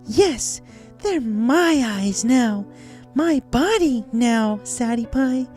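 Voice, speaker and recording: A woman's voice: fairly high pitch, lively intonation, drawn-out words, warm tone. A woman voicing a manic, girlish cartoon pony killer in a dramatic story reading, sugary, theatrical and taunting, with sweet menace. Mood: gloating menace. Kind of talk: dramatic fan fiction reading in character. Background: music.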